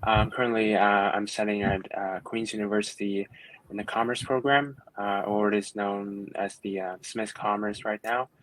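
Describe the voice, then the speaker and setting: Young man from China, low flat voice, hesitant, lots of fillers, video call. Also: moderate pace, dry recording, rough recording. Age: young adult. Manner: hesitant, many ums and uhs. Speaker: university student panelist. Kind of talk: panel answers on video call.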